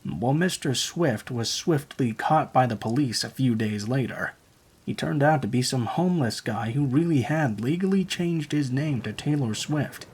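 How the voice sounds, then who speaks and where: A man narrating true horror stories in a steady, even storytelling read. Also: mid-range voice, slightly rough.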